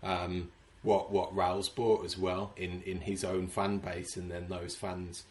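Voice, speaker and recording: A low-voiced English man speaking over a video call. He tells his club stories in an easy, chatty way and often repeats a word as he restarts a phrase. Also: level tone, takes it slowly. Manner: chatty storyteller with frequent restarts. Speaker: supporters club organiser. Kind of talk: casual interview chat.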